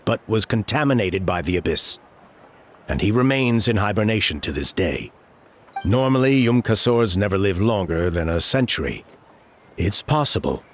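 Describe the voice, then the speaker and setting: A stern, protective middle-aged elder in a game, with a lowish voice that rises and falls a great deal. He speaks in a measured, formal way. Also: slightly rough, heavily acted.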